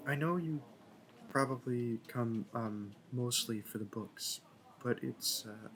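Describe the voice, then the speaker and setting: Man acting a slow, stammering shy young guy. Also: mid-range voice, natural voice, poor audio. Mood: flustered, shy. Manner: stammering, hesitant, self-correcting. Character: shy, smitten young male library worker, nervous and awkward. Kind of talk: intimate roleplay audio acting.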